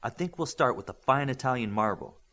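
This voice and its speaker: A man's voice: medium pitch, lively intonation, a little fast, pushed and bright. Man voicing an eager, confident young girl hero. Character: Virtuoso, excitable confident creative girl with powers.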